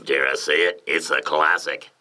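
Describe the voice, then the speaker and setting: A man voicing a cocky, menacing male vampire in an audiobook, with a taunting, drawn-out delivery. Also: a bass voice, steady tone, sharp tone, voice pushed down, exaggerated voice. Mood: mocking menace.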